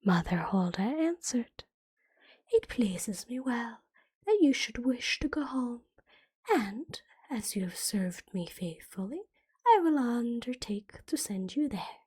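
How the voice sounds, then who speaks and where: A woman reading a fairytale as ASMR in a soft, breathy whisper, gently and carefully, like a bedtime storybook. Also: a little high, big pitch swings, slow pace, dry recording.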